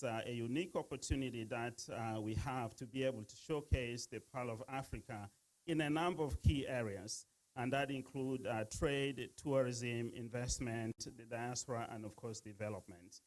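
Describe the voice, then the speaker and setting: A man from Uganda giving a halting speech at a gala, full of fillers. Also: medium pitch, poor audio. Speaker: speaker at a gala. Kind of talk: casual speech to an audience.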